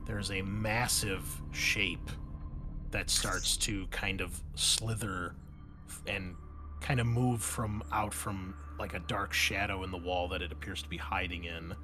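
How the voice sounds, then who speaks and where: A man narrating a tabletop roleplaying game as game master, describing scenes haltingly and correcting himself as he goes. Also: sits low, steady tone, over music. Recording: clear background noise.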